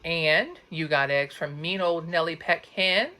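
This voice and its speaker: A woman voicing a calm, folksy elderly grandmother in a storybook read-aloud, soft and reassuring. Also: deep voice, a little slow. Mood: warm, encouraging.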